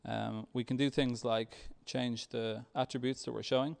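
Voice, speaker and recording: Man with creaky voice, halting tech presenter. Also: medium pitch, little pitch movement, a little mellow. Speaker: software developer presenting at a tech meetup. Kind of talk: conference talk with live demo.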